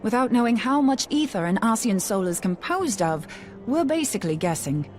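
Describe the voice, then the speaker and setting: An actress playing a blunt, confident, teasing researcher in a fantasy game, with breezy, colloquial speech. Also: female voice, a little high, sing-song, normal speed, a little mellow, faint music behind. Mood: brisk, confident. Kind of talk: scripted fantasy game dialogue.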